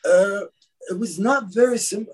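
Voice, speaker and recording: A man from Lebanon, an actor guest, talking in a casual, animated way, often repeating his words. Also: sits high, measured pace, husky. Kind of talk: casual interview chat.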